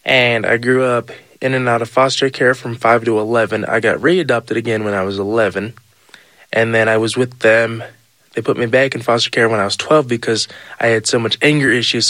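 Brief: man, average-pitched voice, easygoing and informal, lots of "like" and "you know", faint music behind. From United States. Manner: relaxed, many fillers and "you know"s. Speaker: interviewee. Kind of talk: casual personal storytelling.

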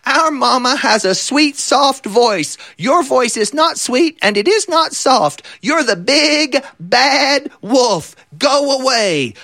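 Man putting on little goat kids, high and scolding, defiant, lively, dry sound. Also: takes it slowly, hardly any pauses, dry recording, full character voice.

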